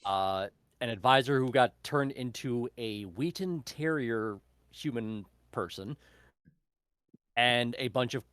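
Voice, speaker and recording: A man in a book club casually explaining a recommendation in a halting, meandering way. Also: mid-range voice, fairly bright tone, clean tone, dry recording. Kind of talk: casual chat, recommending books.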